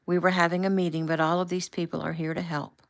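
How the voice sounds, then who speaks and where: Brief: narrator voicing a steady, firm male leader, low gravelly tone, reassuring. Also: quick pace, close to an everyday voice. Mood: calm reassuring. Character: calm, authoritative man in charge. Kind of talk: audiobook character voice.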